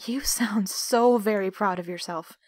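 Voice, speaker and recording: A woman's voice: medium pitch, unhurried, darkish tone, no echo, clean studio sound, close to an everyday voice. An actress playing a defiant, foul-mouthed female captive in an audio drama, biting and sarcastic. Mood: scornful.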